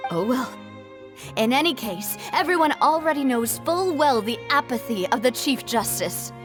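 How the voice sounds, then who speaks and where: A spirited young lady leader from a video game, with a high voice. She sounds earnest, proud and determined, delivering lines expressively. Music runs clearly underneath. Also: expressive, moderate pace. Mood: bitter, then composed. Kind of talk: video game cutscene acting.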